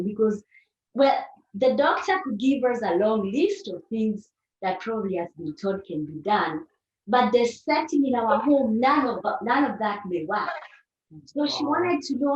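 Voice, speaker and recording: A woman reflectively sharing a personal account in a panel discussion, choosing her words carefully. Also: a little high. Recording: roomy, distant, dull call audio.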